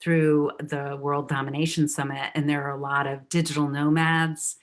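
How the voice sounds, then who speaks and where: A woman's voice: sits low, flat delivery, normal speed. A woman hosting a live storytelling event over a video call, loose and upbeat, full of fillers. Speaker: host.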